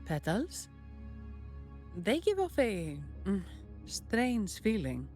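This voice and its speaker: A woman's voice: mid-range voice, huge pitch swings, extremely slow, a little mellow, faint music behind, heavily acted. A woman voicing a composed, wry bank employee in a video game, dry and matter-of-fact. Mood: pensive. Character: cool, businesslike, wry female bank employee.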